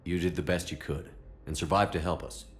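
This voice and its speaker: A man playing a steady, supportive military commander in a video game, direct and clipped in his phrasing. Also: sits low, fairly quick, soft background music, lightly acted. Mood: reassuring.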